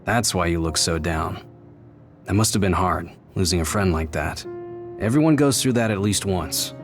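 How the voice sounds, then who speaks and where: Male actor as video game squad captain, calm, measured, authoritative, music underneath. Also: low voice, natural voice. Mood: solemn, consoling. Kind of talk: dubbed anime-style game acting.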